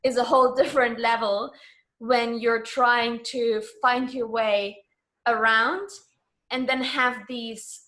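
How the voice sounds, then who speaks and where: A woman with a fairly high voice is being interviewed. She talks conversationally and earnestly, leaning on key words to press her point. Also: fairly even intonation, slow pace, a bit bright, low-quality recording. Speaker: interview guest.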